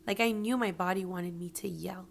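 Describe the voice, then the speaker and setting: A woman with a hoarse, raspy voice and a gravelly edge talks earnestly about pain and healing, encouraging the listener. She often drops to a soft whisper to stress a point. A faint hiss sits under the recording. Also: lowish voice, moderate pace. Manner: earnest, motivational, slips into whispers for emphasis. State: hoarse from yelling. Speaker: podcast host. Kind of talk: personal reflective monologue.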